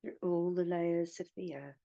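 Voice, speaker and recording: A woman guiding a meditation in a soothing, hushed manner, leaving long pauses between phrases. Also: fairly low pitch, fairly even intonation, vocal fry, dry recording, lo-fi sound. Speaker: meditation guide. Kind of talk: guided meditation.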